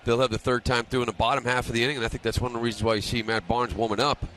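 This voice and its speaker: A man's voice: mid-range voice, level tone, rapid-fire, fairly bright tone. An American man giving conversational color analysis during a live radio baseball broadcast. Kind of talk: live sports commentary, conversational. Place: ballpark broadcast booth. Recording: live stadium broadcast, ambient noise.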